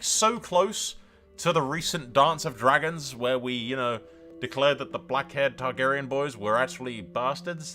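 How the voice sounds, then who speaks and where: A man hosts casual game commentary in a lively, swinging voice with a bright, forceful edge. He is informal and wry, swearing freely as he jokes along. Faint music plays underneath. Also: medium pitch. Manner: informal, sweary, wryly joking. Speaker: video game streamer, host.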